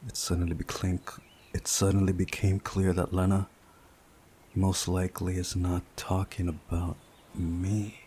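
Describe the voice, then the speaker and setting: A man reading a visual novel aloud as a playthrough host, in a measured, deliberate way with pauses. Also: low voice, flat delivery, warm tone, slight background noise. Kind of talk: scripted narration of a visual novel with commentary.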